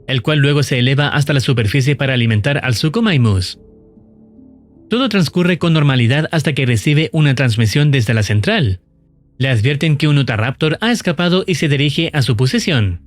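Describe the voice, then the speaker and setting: A male narrator delivers a scripted recap in a mid-pitched voice. His intonation moves a great deal as he explains events step by step. Soft music plays underneath. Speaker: narrator of a horror video channel.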